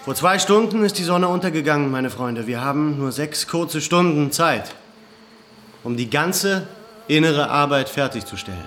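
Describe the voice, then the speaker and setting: An actor playing a resolute leader who rallies villagers to resist, with impassioned oratory, in a radio drama. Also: male voice, fairly high pitch, lively intonation, moderate pace, fairly bright tone, faint music behind. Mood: earnest, urgent. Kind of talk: German radio drama acting.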